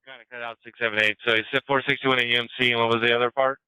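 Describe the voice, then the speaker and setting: A man working as a dispatcher, talking briskly over police radio. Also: medium pitch, flat delivery, sharp tone, smooth, low-quality recording.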